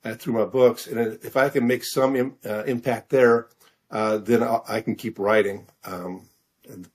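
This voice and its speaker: Halting, hesitant man in an interview. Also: mid-range voice, fairly even intonation, a little mellow. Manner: halting, hesitant, frequent throat-clearing. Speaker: guest author. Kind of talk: interview conversation.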